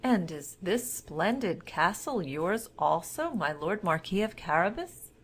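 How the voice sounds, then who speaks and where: A woman's voice: fairly low pitch, big pitch swings, a little slow, heavily acted. Fairy-tale king, voiced by a female reader: courtly, gracious, formal, full of stately admiration. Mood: admiring.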